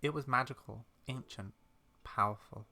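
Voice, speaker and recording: A man voicing a kindly, secretive old witch granny in a game, with measured, theatrical, old-fashioned speech. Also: mid-range voice, sharp tone. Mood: mysterious. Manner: measured, mysterious storytelling with fond, old-fashioned turns of phrase. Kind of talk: game dialogue read aloud in character.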